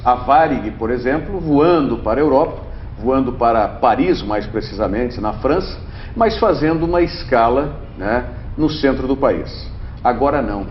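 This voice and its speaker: A man's voice: medium pitch, a little hiss or hum. Chatty male TV host. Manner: warm, chatty, with frequent tag questions. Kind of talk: TV interview. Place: TV studio. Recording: roomy, dull TV audio.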